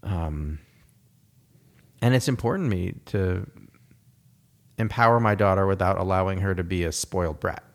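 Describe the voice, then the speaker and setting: A man's voice: low voice, normal speed, pauses often. A man talking in a casual conversation, reflective and rambling, with many fillers and restarts. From United States.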